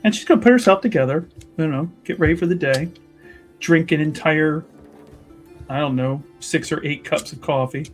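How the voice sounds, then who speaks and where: Higher-pitched man, wry game player. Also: moderate pace, faint music behind. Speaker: tabletop roleplaying player. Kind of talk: casual improvised narration and banter.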